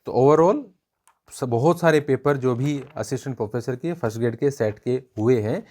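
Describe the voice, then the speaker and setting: Man, exam coach, mid-pitched voice, informal review style, measured explanatory delivery, points out examples. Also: darkish tone. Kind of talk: informal spoken review for students.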